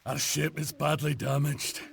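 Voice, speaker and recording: An actor playing an earnest Scottish knight captain who gives firm orders in a historical strategy game. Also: male voice, on the high side, flat delivery, measured pace, gravelly, slight background noise. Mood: firm, guarded. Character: stern young Scottish knight captain commanding soldiers.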